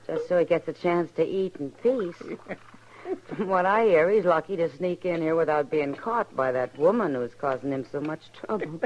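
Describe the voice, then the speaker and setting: A woman's voice: sits low, moderate pace, close to an everyday voice. An actress playing a frank, self-assured woman who runs a frontier saloon in a radio western. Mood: teasing, amused. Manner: direct, wry. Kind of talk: old-time radio western acting. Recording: dull old radio recording.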